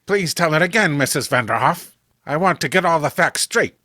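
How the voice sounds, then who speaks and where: A man's voice: on the high side, animated, normal speed, pushed and bright, dry recording, clean studio sound. A man playing an authoritative veteran police commissioner, recounting a case in a horror comic reading. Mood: explanatory. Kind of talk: dramatic storytelling, horror comic voice acting.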